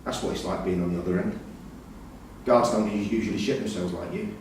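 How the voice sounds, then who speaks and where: A man's voice: fairly low pitch, steady tone, brisk, a little mellow, natural voice. A man playing a volatile, resentful prisoner with a confrontational, menacing manner in a staged drama reading. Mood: scornful. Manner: confrontational, aggrieved. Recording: very echoey hall, distant mic, hiss.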